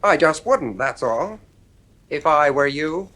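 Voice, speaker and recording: A man's voice: on the high side, expressive, takes it slowly, bright, forceful tone, heavily acted. An actor playing a defiant, coolly insolent boy in a 1950s radio drama, curt and argumentative. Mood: sullen, quietly menacing.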